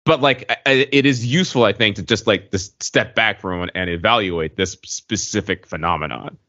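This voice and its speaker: A man co-hosting a casual podcast discussion, speaking haltingly and circling back to restate his points. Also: fairly low pitch, bright, forceful tone, no echo, dull audio. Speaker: podcast co-host.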